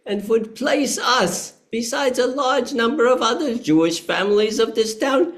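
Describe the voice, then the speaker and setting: A performer playing an indignant, loyal Union merchant in a historical play reading, speaking in a formal, declamatory way. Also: medium pitch. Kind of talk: play table reading, period speech.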